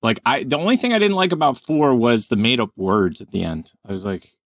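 Man, podcast host, lively pitch, dry close sound, laughs often. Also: a little fast, no room sound. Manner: laughing, animated, trailing off mid-sentence. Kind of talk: casual chat.

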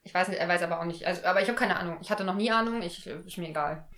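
A woman's voice: lowish voice, rapid-fire, a bit bright. A woman co-hosting a casual podcast, joking around and laughing often as she plays with words. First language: German. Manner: giggly, playful wordplay. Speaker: podcast co-host. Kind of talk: casual chat.

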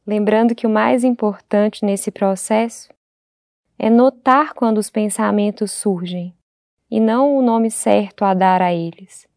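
Mellow Brazilian woman guiding meditation, dry sound. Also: mid-range voice, steady tone, no echo. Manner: gentle, unhurried, with long pauses between phrases. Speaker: meditation guide. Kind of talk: guided meditation.

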